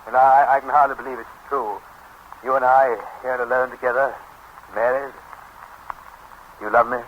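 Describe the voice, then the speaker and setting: An actor playing an eager, jealous, besotted British newlywed husband in a 1930s radio comedy, stammering and insistent. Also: male voice, medium pitch, fairly even intonation, moderate pace, natural voice. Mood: eager, adoring. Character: earnest, besotted, jealous English newlywed husband, big athletic type. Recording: muffled, hissy 1930s radio broadcast.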